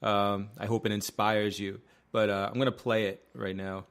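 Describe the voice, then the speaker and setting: A man co-hosting a podcast, chatting casually in a halting way, stacking "like" and restarts and swearing now and then. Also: on the low side, little pitch movement, fairly bright tone. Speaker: podcast co-host. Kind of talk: casual chat.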